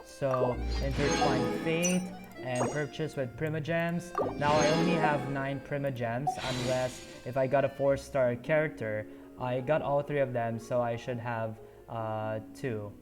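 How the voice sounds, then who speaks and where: A man streaming a video game, chatting casually and haltingly with lots of ums and uhs. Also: mid-range voice, fairly even intonation. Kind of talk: casual gameplay commentary. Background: game music.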